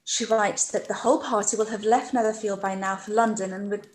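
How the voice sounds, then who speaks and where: A woman playing a gentle, trusting young gentlewoman in a period radio play, mild and reasoning in formal speech. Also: mid-range voice, steady tone, brisk, natural voice. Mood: hurt, resigned. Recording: echoey room with clear background noise.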